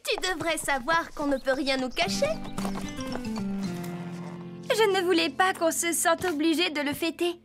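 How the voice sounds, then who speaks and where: A woman's voice: very high-pitched, unhurried, long pauses. A woman voicing a kind, modest young girl horse rider in a French cartoon dub, sincere and earnest. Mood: happy, touched. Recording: music under the voice.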